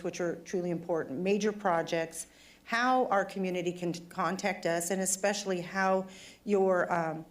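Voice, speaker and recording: A woman police chief giving a formal presentation to a city council, with a voice that is going hoarse as she speaks in a plain, conversational way. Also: on the low side. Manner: conversational and plainspoken, some fillers. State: losing her voice, throat trouble.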